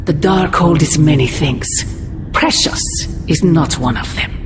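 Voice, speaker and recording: A woman's voice: sits low, measured pace. A woman voicing an ancient, imperious sorceress mother in a video game, speaking in grandiose, formal, ominous phrases. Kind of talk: dramatic video game cutscene acting. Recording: loud music underneath.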